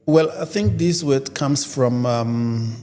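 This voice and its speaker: A man's voice: mid-range voice, takes it slowly, dark tone. A man who is not a native English speaker, a guest on an interview podcast, hesitant and repeating words as he searches for them. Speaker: guest, photographer and musician. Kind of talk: casual interview chat. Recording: reverberant room.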